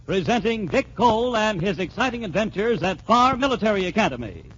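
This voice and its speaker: High, animated vintage radio announcer man. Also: brisk. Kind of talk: excited 1940s radio serial announcing. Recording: muffled 1940s radio recording with music. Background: music.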